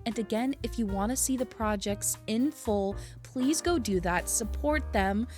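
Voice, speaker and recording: A woman's voice: a little high, unhurried, a little mellow, faint music behind. A woman narrating a vlog in an enthusiastic, earnest way. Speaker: aspiring voice actor, content creator.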